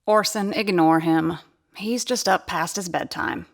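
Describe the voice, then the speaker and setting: A wry woman on a theater crew in an audio drama, teasing her coworkers. Her voice sits low and sounds dry and close. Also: measured pace, dry recording.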